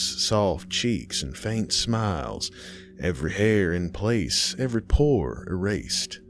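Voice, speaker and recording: A man reading a scripted horror story in a dramatic, measured way, pausing between phrases. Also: low voice, gravelly, slight background noise.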